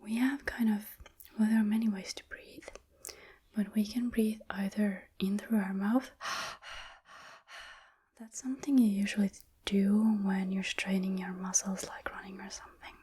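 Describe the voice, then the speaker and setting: A woman whispering a calm, guided breathing lesson, counting slowly aloud and explaining each step. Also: medium pitch, a little hiss or hum. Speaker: breathing instructor.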